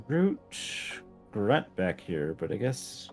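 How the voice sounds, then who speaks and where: A man's voice: on the low side, big pitch swings, very slow. A man who makes game mods, chatting casually and playfully as a guest on a gameplay stream, with music behind him. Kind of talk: casual chat while playing a game.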